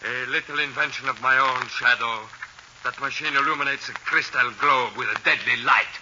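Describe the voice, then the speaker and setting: An actor playing a sly, scheming, craven villain in melodramatic 1940s radio style. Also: male voice, mid-range voice, steady tone, moderate pace, lightly acted. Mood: sly, boastful. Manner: melodramatic, crafty. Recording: muffled, noisy 1940s radio recording.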